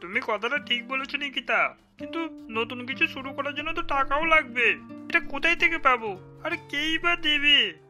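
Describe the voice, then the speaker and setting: A performer voicing a worried, hesitant husband in a cartoon, asking doubtful questions. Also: male voice, very high-pitched, normal speed, sharp tone, soft background music, full character voice.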